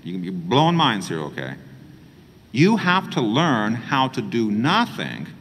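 Middle-aged priest preaching a homily, lively rising and falling voice, echoey little chapel. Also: male voice, medium pitch. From New Mexico, USA. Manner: gentle, expressive preaching with pauses and hand gestures. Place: small chapel. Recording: church reverb.

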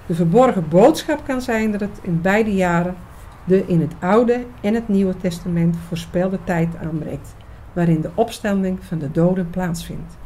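A woman's voice: on the low side, unhurried, dark tone, a little hiss or hum, lightly acted. A woman playing an eager, knowing researcher in a scripted radio drama, explaining mysteries with emphasis. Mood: intent, intriguing. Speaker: actress in an audio drama.

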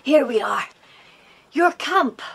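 A woman's voice: sits high, animated, takes it slowly, creaky, a little hiss or hum, full character voice. A woman playing a friendly, curious English boy in a children's audio drama.

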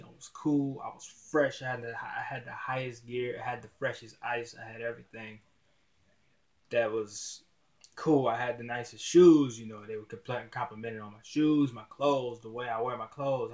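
A man of twenty vlogging casually to his camera in a dim small room, rambling and restarting his words often, with relaxed slang. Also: mid-range voice. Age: 20s. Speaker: YouTuber. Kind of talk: casual vlog talk to camera.

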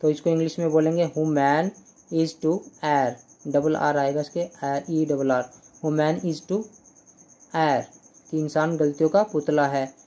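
A young man teaching English grammar in Hindi, going slowly through example sentences, repeating them and often checking "clear?". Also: on the high side, fairly even intonation, warm tone. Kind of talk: Hindi-language grammar lesson.

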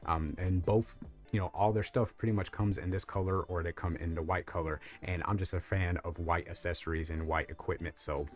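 A young man with a very deep, low voice talks through a gadget review in a loose, rambling way, full of fillers. He is recorded close on a lapel mic in a small room. Faint music plays underneath. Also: steady tone, a little fast. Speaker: tech reviewer. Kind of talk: casual product review. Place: small room lit with colored lights.

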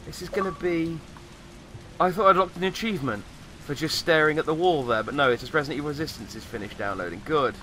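Slightly croaky man with a bright voice. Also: on the high side, fast, a little hiss or hum. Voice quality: slightly croaky, recovering from voice loss. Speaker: gamer, host of a let's play. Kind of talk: casual, rambling game commentary.

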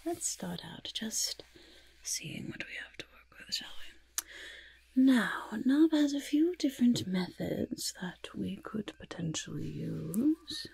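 A sly goblin schemer, played by a woman in a soft-spoken ASMR roleplay. The voice is very husky and breathy with a crackle of fry, held close to the mic. It fusses along deliberately, smug and conspiratorial. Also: mid-range voice, expressive, dry recording, heavily acted. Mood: fussy, soothing. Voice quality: breathy, hushed. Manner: slow, deliberate, refers to self in third person. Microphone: close microphone.

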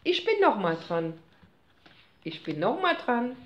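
Playful mother, lively and slow-spoken. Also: a little high, muffled recording. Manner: playful, teasing, mock-indignant. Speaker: mother playing cards with her son. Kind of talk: casual family chat during a card game. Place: home room at a table, off camera. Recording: echoey room, faint noise.

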